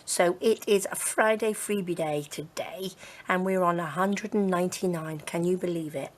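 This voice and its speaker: A woman with a croaky, hoarse throat hosting a casual crafting tutorial, chatty and gushing with frequent fillers. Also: fairly low pitch, normal speed, a little hiss or hum. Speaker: crafting channel host.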